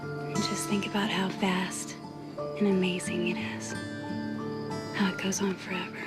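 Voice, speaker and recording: An actress playing a hopeful, dreamy young woman in a TV soap, with a soft, breathy, wistful delivery. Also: fairly low pitch, level tone, measured pace, natural voice. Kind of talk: television soap opera acting. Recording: loud music over dialogue.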